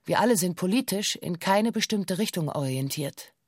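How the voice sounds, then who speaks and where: An actress playing a female witness in a radio play, reciting a police statement in a stiff, measured, matter-of-fact way. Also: fairly low pitch, lively intonation, dry recording, studio quality. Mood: formal, composed. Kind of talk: radio play, formal recited testimony in bureaucratic German.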